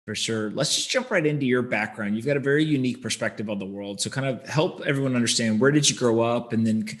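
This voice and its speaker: A man's voice: mid-range voice, fast talker. A man hosting an interview podcast, upbeat and punchy as he leads into questions. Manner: upbeat, punchy catchphrase openers. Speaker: podcast host. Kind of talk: casual interview.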